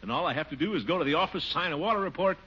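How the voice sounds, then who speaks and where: A booming pompous city official. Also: male voice, animated, very fast, bright, forceful tone, husky, heavily acted. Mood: eager. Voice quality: booming, with a hearty laugh. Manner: jovial, boastful, sneaky excuses. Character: blustering, pompous middle-aged male city official. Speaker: Gildersleeve, water commissioner. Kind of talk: 1940s radio sitcom acting. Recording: muffled old radio broadcast, faint hiss.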